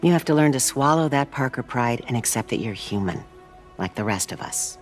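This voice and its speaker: An actress playing a caring older aunt in a video game, gently chiding but firm. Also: female voice, extremely low pitch, animated, fairly quick, faint music behind.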